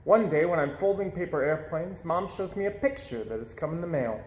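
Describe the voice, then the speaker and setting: A man reading a children's story aloud in an expressive narrating style. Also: fairly high pitch, fairly quick. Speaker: storybook reader. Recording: echoey room.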